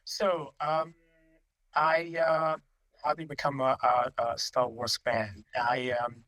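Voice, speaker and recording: Italian man, bright halting voice. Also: medium pitch, flat delivery, rough recording. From Italy. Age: 50s. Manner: halting, many ums and uhs, restarts. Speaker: company managing director, guest. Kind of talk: casual interview answers.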